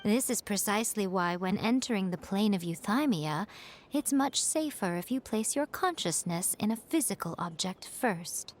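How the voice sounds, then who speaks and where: A woman voicing a sly, elegant fox-spirit shrine familiar in a video game, poised and lofty with deliberate, teasing phrasing. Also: medium pitch, lively intonation, slight background noise, lightly acted. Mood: composed, explanatory. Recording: game music underneath. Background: game music.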